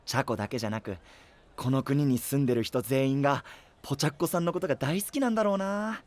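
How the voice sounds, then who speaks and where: A man's voice: mid-range voice, quick pace, natural voice. A man voicing a cheerful, adventurous young male knight with an enthusiastic, easygoing boyish manner in an anime-style voice drama. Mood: admiring.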